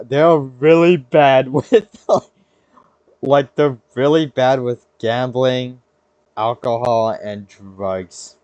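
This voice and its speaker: A man's voice: mid-range voice, darkish tone, clean tone, no room sound, highs cut off. A young adult American man hosting a podcast in a casual, slangy, rambling way, often cutting himself off mid-thought. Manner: slangy, rambling, self-interrupting. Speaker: podcast host. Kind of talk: casual chat.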